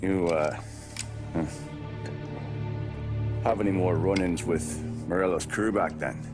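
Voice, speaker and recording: A blunt, skeptical detective with an extremely deep voice. Music plays under the game scene. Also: male voice, steady tone, slow pace. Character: gruff male detective questioning a mobster.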